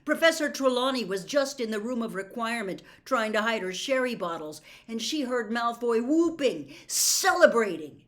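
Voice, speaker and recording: A woman's voice: on the high side, expressive, moderate pace, few pauses, fairly bright tone, voice pushed up. A woman voicing an angry, distrustful teenage schoolboy in a storybook reading, strained and accusing. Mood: urgent accusing. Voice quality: strained, shaking voice. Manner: heated, accusing, emphatic.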